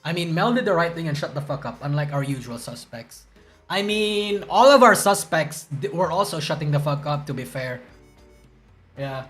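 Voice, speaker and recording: A man's voice: fairly high pitch, expressive, moderate pace, a bit bright, soft background music. A young man streaming live, rambling and swearing as he reacts to news, groggy from a hangover and stuttering. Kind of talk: casual livestream chat reacting to news.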